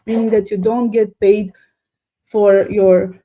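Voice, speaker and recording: A woman's voice: mid-range voice, little pitch movement, dark tone, a little hiss or hum. A woman in her thirties with a Romanian accent, a guest telling stories eagerly in a casual interview. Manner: fast, eager storytelling with fillers.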